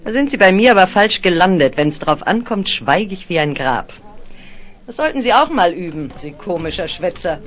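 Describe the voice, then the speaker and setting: An actress playing a tired, haughty, sharp-tongued career woman in a 1970s German radio play, dry and scornful. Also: on the low side, sing-song, moderate pace. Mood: weary, scornful.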